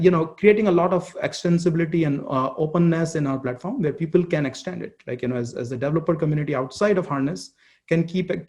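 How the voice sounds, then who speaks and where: A man presenting a product keynote as a company chief executive, speaking haltingly and often repeating words and phrases. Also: mid-range voice, a little mellow.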